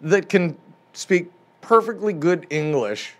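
A man's voice: fairly high pitch, takes it slowly. A man from Montana with a northern US accent, co-hosting a podcast in casual, joking chat. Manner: animated, joking, swears casually. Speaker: podcast co-host.

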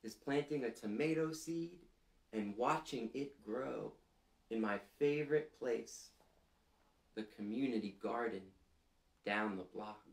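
Slow-paced man reading to children. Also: mid-range voice, creaky. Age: 30s. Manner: gentle, deliberate, addressing young children. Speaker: storytime reader for children. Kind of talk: children's picture-book read-aloud with questions to listeners. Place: small indoor living room, seated. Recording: roomy echo.

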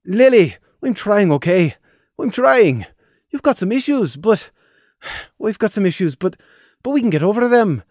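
A man's voice: sits high, big pitch swings, measured pace, darkish tone, dry recording, studio quality, voice pushed up, heavily acted. A man voicing a young stallion, an anxious brother who stammers and pleads for forgiveness. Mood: pleading.

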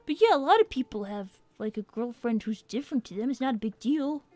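A woman's voice: a little high, animated, a little fast, darkish tone, dry recording, lightly acted. A woman voicing a young, brainy cartoon fox boy who chats in a sassy, self-assured way as a gaming livestream host. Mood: matter-of-fact. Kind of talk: in-character gaming livestream chat. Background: game music.

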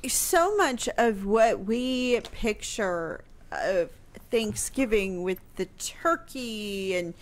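An American woman in her thirties discussing history conversationally on radio into a close microphone, hesitant and pausing mid-thought. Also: mid-range voice, animated. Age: 30s. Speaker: radio history show guest expert. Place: small home room, indoors. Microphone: close boom-arm studio microphone with headset.

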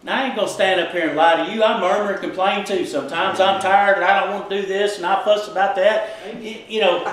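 A middle-aged man preaching in a small church, his fairly high voice bouncing around a very echoey room. He talks in a folksy, animated way, heard through a headset mic. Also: fast talker. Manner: folksy, animated, gesturing widely while preaching. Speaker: pastor. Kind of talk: sermon.

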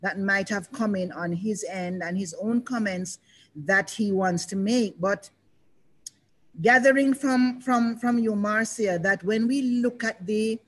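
An older woman moderating a panel discussion over a video call, speaking in a reflective, measured way with pauses and restarts. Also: medium pitch, poor audio. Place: indoors, in front of a banner.

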